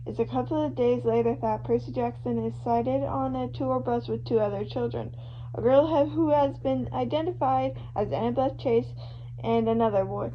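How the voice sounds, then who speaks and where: A woman reading fan fiction aloud in an informal amateur style, animated as she moves between narration and dialogue. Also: a little high, moderate pace. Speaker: amateur podfic reader. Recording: muffled lo-fi home recording with hum, hiss.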